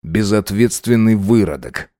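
A man's voice: fairly low pitch, lively intonation, measured pace, dark tone, no echo, studio quality. A man voicing a callous, irritable lieutenant colonel who hisses and barks his words in a military horror story. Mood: angry. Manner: barking orders, hissing, irritable. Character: harsh, callous, commanding male army lieutenant colonel.

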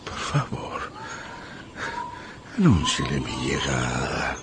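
An actor playing an aging, lovesick general in a radio drama, anxious and pleading with a halting, dramatic delivery. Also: male voice, a bass voice, big pitch swings, heavily acted. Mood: pleading, self-important. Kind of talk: Spanish-language radio drama acting.

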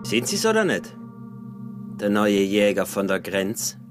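An actor with an Austrian accent playing a cunning, insistent peasant smuggler and husband in a radio drama. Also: male voice, on the low side, very animated, unhurried, music in the background. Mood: slyly polite. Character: sly scheming peasant smuggler, husband, early 1900s. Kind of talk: radio drama acting in dialect.

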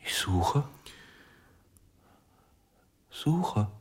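An actor in a German radio play as a desperate, broken ventriloquist, halting and anguished, with a breathy voice that often drops to a whisper. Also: male voice, medium pitch, very animated, exaggerated voice. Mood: dazed grief. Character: desperate, broken male ventriloquist, denying and pleading.

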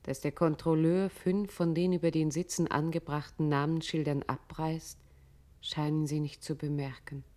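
Female actor as scene-setting narrator, deep for a woman, sober, measured, radio drama. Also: little pitch movement, dark tone, close to an everyday voice. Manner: measured, descriptive. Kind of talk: German radio play narration.

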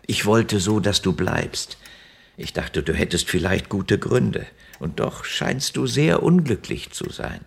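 Loving old father, very low gravelly voice, gentle worried radio-play delivery. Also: expressive, measured pace, heavily acted. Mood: sad, puzzled. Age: older. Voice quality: husky, gravelly. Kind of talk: German radio drama acting.

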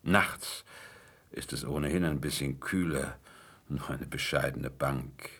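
Low, creaky, sardonic gentleman crook. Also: takes it slowly, heavily acted. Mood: smooth, menacing. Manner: mock-polite, sneering, disdainful. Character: languid, sardonic gentleman crook, boss of a gang. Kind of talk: German radio drama acting.

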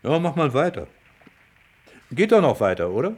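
An actor playing an easygoing, gently teasing companion in a radio play, casual and coaxing. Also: male voice, a little high, sing-song, moderate pace. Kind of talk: German radio play dialogue.

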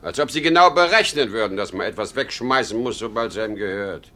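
An aging travelling salesman with a bright, keen voice that leaps up and down. He is boastful and exclamatory, yet insecure underneath, a talkative father in a 1950s radio play. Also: on the low side, animated, fast talker. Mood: flustered. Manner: talkative, exclamatory, swinging from bragging to self-doubt. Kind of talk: 1950s German radio drama acting.

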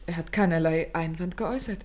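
A woman's voice: fairly low pitch, a little fast, a little mellow, lightly acted. An actress playing an anxious, well-bred young lady in a German radio play, speaking earnestly and formally with careful articulation. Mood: composed, formal.

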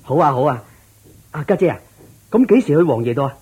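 An excited young musician, cantonese radio play, husky, pitch jumps around. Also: male voice, quick pace, dull audio, heavily acted. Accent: Hong Kong Cantonese. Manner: eager, astonished exclamations. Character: excitable, eager poor young male musician. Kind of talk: Cantonese radio drama acting.

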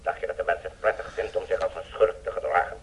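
Very deep-voiced, menacing male blackmailer played by an actor. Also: fast talker, a little hiss or hum, lightly acted. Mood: bitter, defensive. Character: menacing Eastern European male defector, bitter, self-justifying blackmailer. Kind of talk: Dutch radio play acting.

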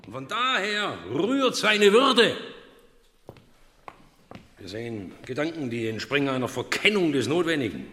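Radio play: irritable, pompous lecturer, slow and emphatic with big melodic swings, declaiming to make his point. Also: on the high side, big pitch swings, sharp tone, exaggerated voice. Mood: grandly declamatory. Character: pompous, irritable philosophy professor pronouncing on the state. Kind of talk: German radio play acting. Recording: echoey hall reverb.

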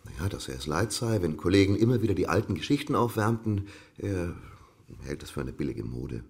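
A man's voice: low-pitched, expressive, fairly quick, vocal fry, a little mellow, slightly rough, full character voice. An actor playing a flustered, deferential male assistant at a publishing house in a radio crime drama, hesitant and stammering. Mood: sheepish.

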